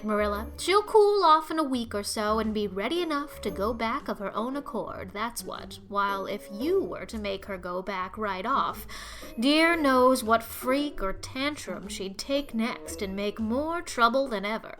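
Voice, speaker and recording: A highish, very animated middle-aged gossip acted by a woman for an audiobook. She is bossy and sure of her opinions, folksy and emphatic, ready to be scandalized. Faint music sits behind the voice. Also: measured pace. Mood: knowing, opinionated. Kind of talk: audiobook character acting.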